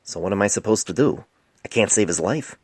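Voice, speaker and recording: A man's voice: lowish voice, expressive, a little fast, husky, no room sound. A man voicing a young male pony resident doctor in a storybook reading, defensive, indignant and smart-aleck. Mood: exasperated.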